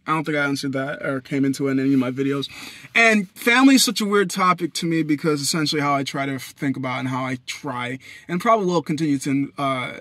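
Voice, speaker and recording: A young man from Haiti, talking into his phone in a parked car at night, blunt and profane, swinging between loud bursts and low mutters. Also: fast, no room sound. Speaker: vlogger. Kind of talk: rambling personal monologue. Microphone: phone camera at arm's length.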